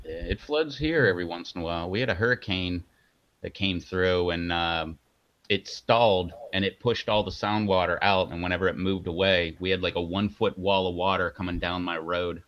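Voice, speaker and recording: A man's voice: deep voice, normal speed, dry recording, muffled recording. A man co-hosting a casual live stream who talks in a chatty way, laughs often and promotes the channel.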